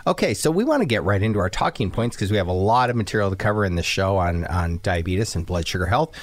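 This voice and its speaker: A man hosting a casual radio talk show, a bit hoarse from a cold, upbeat and rambling with lots of fillers, talking into a studio microphone. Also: deep voice, animated, quick pace, no echo.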